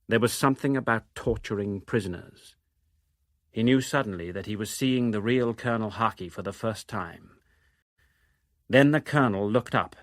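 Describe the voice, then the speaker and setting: A man gives a measured, formal dramatic reading of literary narration. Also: on the low side, no echo, clean studio sound. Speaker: reader of novel extracts.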